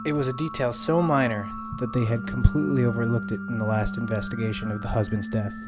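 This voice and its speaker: A man reading a scripted horror story in a measured, suspenseful way, with dramatic pauses. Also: medium pitch, fairly even intonation. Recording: music behind the voice. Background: eerie background music.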